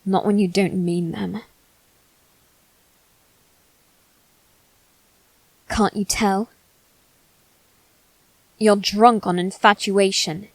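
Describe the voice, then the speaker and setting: A woman playing a brooding, haughty aristocratic boy bent on revenge, speaking formally and deliberately in a dramatic ASMR roleplay. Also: mid-range voice, steady tone, no echo. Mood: cold, dismissive. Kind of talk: dramatic ASMR roleplay monologue addressed to listener.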